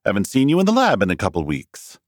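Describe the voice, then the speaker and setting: Brief: a man playing a confident young gentleman researcher, breezy and formal, lively delivery. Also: on the low side, quick pace, no echo, clean studio sound, pitched above the performer's own voice. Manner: breezy, talkative, slightly formal. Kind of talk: audiobook character reading.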